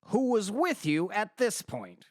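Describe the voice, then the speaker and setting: A man's voice: high-pitched, huge pitch swings, a little slow, no room sound, clean studio sound, exaggerated voice. A man playing an earnest defense lawyer in an audio drama, questioning with probing courtroom formality.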